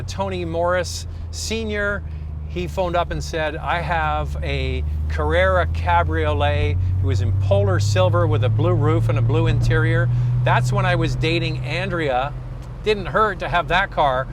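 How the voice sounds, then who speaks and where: A middle-aged man with a fairly high voice presents a car review. He talks casually and with enthusiasm, like an experienced host. There is clear vehicle hum and outdoor noise behind him.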